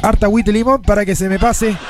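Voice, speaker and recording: A man's voice: sits high, steady tone, very fast, soft background music. A man with a Chilean accent hosting a live radio show with chatty, sing-song patter, his nose stuffed up from a cold. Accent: Chilean Spanish. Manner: chatty, sing-song, upbeat, with frequent tag questions.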